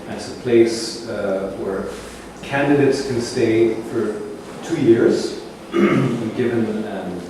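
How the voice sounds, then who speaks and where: A man gives a talk to visitors in a large gallery, his voice bouncing around a very echoey room. He is picked up from some distance, with steady ambient noise underneath. His delivery is measured and reflective. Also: on the low side. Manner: measured, reflective, searching for words. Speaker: curator hosting a gallery talk. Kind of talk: public talk introducing an artist.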